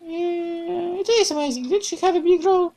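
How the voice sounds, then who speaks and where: A woman's voice: very high-pitched, moderate pace, dark tone, pitched above the performer's own voice, low-quality recording. A woman voicing a bubbly, cheerful young woman companion in a visual novel, with a raised, playful, exclamatory voice.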